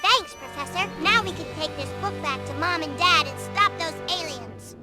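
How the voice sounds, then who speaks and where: A principled little cartoon girl with a high voice. She sounds sincere, kind and conscientious, and music runs underneath. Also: normal speed. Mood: grateful. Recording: music behind the voice.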